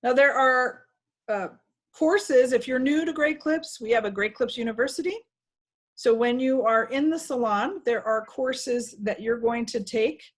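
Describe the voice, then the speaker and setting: A woman's voice: on the high side, measured pace. A woman trainer giving an informal, instructive walkthrough to staff over a video call. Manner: instructive, conversational. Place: video call with screen sharing.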